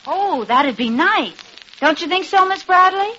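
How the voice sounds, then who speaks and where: An actress playing a sweet, gently coaxing wife in a vintage radio sitcom. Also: female voice, extremely high pitch, animated, unhurried, full character voice. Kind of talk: 1950s radio sitcom acting. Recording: very noisy, muffled old radio recording.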